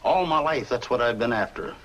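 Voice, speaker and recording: An actor playing a cunning, guarded circus owner and killer in a 1950s film noir. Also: male voice, medium pitch, lively intonation, a little fast, a bit bright. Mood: bitter, cornered. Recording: noisy old film soundtrack.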